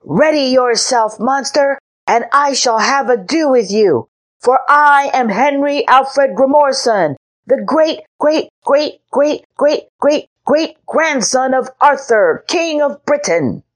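A woman voicing a pompous six-year-old boy knight who makes grand, loud declarations. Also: on the high side, expressive, slow pace, pitched above the performer's own voice. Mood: boastful.

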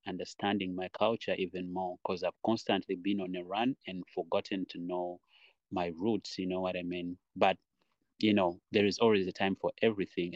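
Low-voiced man, reflective and rambling. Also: no room sound, lo-fi sound. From Uganda. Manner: reflective, rambling, often says "you know". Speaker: podcast guest, dancer and choreographer. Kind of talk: casual interview talk.

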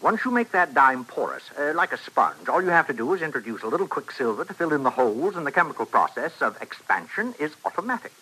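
An actor playing a smooth-talking professor who patiently shows off a wondrous machine, in an old-time radio western. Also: male voice, fairly high pitch, very animated, fast, few pauses, pushed and bright, exaggerated voice, poor audio. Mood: confident, expounding. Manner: patient, showy explaining, like a salesman. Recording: vintage radio broadcast recording.

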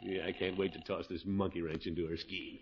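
Middle-aged scheming uncle, 1950s radio comedy: blustery, exclamatory, self-satisfied, on a dull old recording. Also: male voice, low voice, little pitch movement, brisk, a little hiss or hum. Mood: sly, scheming.

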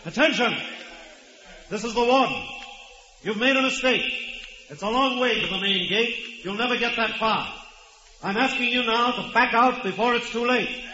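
An actor playing an earnest, idealistic prison warden with a formal, authoritative manner in an old-time radio crime drama. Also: male voice, extremely high pitch, normal speed, pauses often, bright, forceful tone. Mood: loud, urgent appeal. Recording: muffled, noisy vintage radio recording.

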